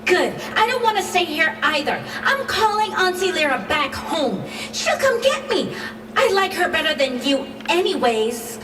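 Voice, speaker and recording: A woman's voice: very high voice, big pitch swings, unhurried, exaggerated voice. A woman playing a defiant, sarcastic teenage daughter in a radio drama, with a flippant way of talking. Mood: bitter, upset. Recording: echoey hall, distant, loud noise.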